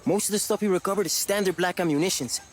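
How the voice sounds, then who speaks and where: An actor playing an eager, loyal rebel sergeant with a Latin American Spanish accent, earnest and soldierly, in a game cutscene. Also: male voice, high voice, fast talker, a little hiss or hum, lightly acted. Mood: matter-of-fact. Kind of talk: video game cutscene acting.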